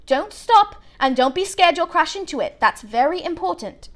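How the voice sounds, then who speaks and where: Woman voicing a high, brisk, kindly storybook mum. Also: animated, fairly bright tone. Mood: kindly, reassuring. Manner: brisk, motherly instructing. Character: plump, kindly, brisk wizard mother.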